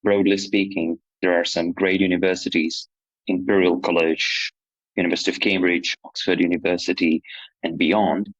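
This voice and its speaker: Very low-voiced young man from Slovakia. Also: level tone, moderate pace, no echo, highs cut off. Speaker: PhD student and nonprofit co-director. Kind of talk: interview answers.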